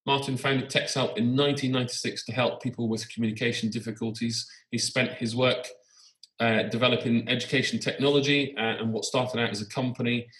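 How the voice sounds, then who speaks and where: A man's voice: medium pitch, steady tone, a little fast, fairly bright tone. A man hosting an education podcast, interviewing a guest with many fillers and false starts. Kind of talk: casual interview. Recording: echoey room.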